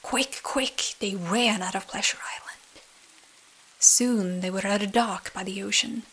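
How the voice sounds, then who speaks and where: A woman narrating a bedtime story in soft, breathy, soothing ASMR style. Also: mid-range voice, fairly even intonation, low-quality recording. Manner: slow, soothing. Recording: rain sounds behind the voice. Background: rain.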